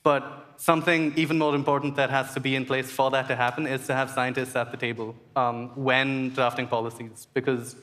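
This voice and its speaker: A young man answering at length as a panel guest, earnest and measured with frequent fillers. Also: medium pitch, level tone, fairly bright tone. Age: young adult. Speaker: essay competition finalist.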